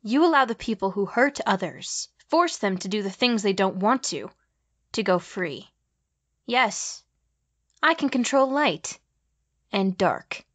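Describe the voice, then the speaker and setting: A woman playing a blinded, vengeful figure with power over light and dark, speaking in solemn, deliberate declarations in an audio drama. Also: mid-range voice, big pitch swings. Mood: grimly resolute.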